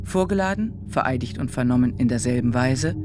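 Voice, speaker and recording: A performer playing a court clerk, formally and deliberately announcing witness records in a radio play. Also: mid-range voice, lively intonation, over music, lightly acted.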